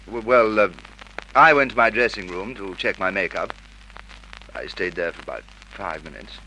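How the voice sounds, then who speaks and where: Precise male witness, low-pitched, earnest, faintly noisy old radio crime recording. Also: moderate pace, pushed and bright. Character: male film actor, accusing murder witness. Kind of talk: Golden Age radio drama acting.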